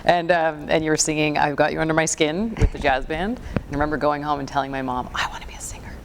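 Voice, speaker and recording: A woman in her forties from the Toronto area, a folk singer with a low voice, chatting in an interview with small laughs. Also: quick pace, background noise. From Toronto area, Canada. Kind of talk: casual interview chat.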